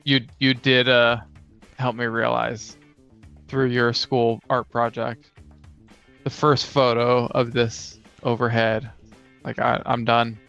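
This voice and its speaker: Slightly dull recording, man, podcast co-host, smooth resonant voice, creaky, understated casual chat, faint guitar music. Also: medium pitch, flat delivery, slow pace, fairly bright tone. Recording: slightly dull, lo-fi microphone sound.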